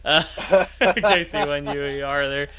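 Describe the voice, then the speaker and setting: A man's voice: a little high, pushed and bright. A man chatting casually on a ham radio livestream as its host, in an agreeable, jokey manner. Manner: agreeable, jokey, quick to chuckle. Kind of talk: casual livestream chat about amateur radio.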